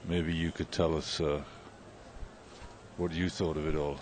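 Very deep-voiced man chatting after a concert. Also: measured pace, a little hiss or hum. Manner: relaxed, rambling, with many fillers. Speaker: podcast host. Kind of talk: casual chat. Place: outdoors at a festival.

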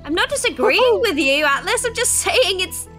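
A woman acting as a guarded young girl dragon in a game roleplay. The voice is very high and animated, impatient in temper, with music behind it. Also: normal speed. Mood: tired. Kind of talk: improvised Minecraft roleplay.

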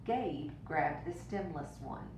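A woman teacher reading a picture book aloud to children in an expressive, deliberate way, with brief asides. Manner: expressive, deliberate storytelling for young listeners. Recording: roomy, distant mic with rumbling noise.

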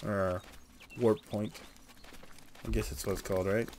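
A man's voice: on the low side, steady tone, moderate pace, a little mellow. Man giving casual game commentary, noisy recording. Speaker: gameplay streamer. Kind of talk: casual gameplay commentary, reading quest dialogue aloud.

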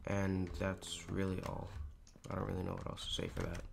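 A teenage boy with a raspy, hoarse voice, rambling casually over a Minecraft game with lots of fillers. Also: deep voice, completely flat delivery, a little fast, slight background noise. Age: teens. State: hoarse, clearing throat. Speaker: gaming YouTuber. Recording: home recording with clear keyboard clicks.

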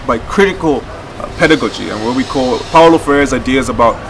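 A man's voice: medium pitch, fairly quick, vocal fry. A man in his thirties speaking as a project leader in a documentary interview, explaining point by point. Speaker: project leader of an educational theatre campaign. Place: outdoors in a garden by a brick building. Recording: distant mic, outdoor crowd noise behind.

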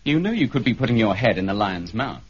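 A man playing a brisk, sceptical army major in a 1970s British radio drama, clipped and businesslike. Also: fairly low pitch, sing-song, breathy edge. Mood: probing. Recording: dull, lo-fi 1970s radio recording.

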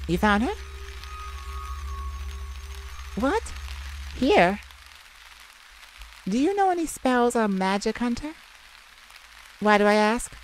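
A woman voicing a feisty, sardonic male vampire with a softer side, in a theatrical ASMR roleplay addressed to the listener. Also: medium pitch, a little hiss or hum, rough recording. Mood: wary, exasperated. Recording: steady rain ambience behind the voice. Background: rain falling.

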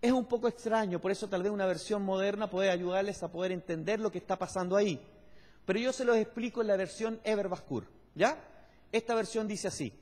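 A man's voice: high voice, brisk, a little mellow. A man preaching a sermon in an explanatory, emphatic teaching manner, putting questions to the congregation. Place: large church hall, on stage at a pulpit. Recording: echoey church hall with long reverb.

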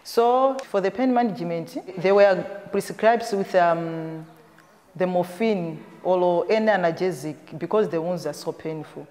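A woman's voice: on the low side, unhurried, dark tone. Echoey woman health worker explaining. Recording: reverberant room, long echo.

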